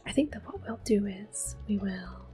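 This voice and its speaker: A woman whispering gently and unhurriedly in a soft, breathy voice, commentating a game in ASMR style up close to the microphone. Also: mid-range voice, faint music behind. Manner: gentle, unhurried, softly spoken. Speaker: ASMR gaming host.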